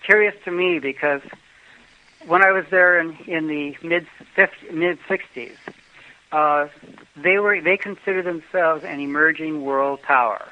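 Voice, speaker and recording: Older man, rambling storyteller, slightly high voice, dull lo-fi recording. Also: unhurried, a little hiss or hum. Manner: rambling, many uh fillers and restarts. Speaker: travel guest. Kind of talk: casual interview chat. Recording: dull lo-fi podcast audio.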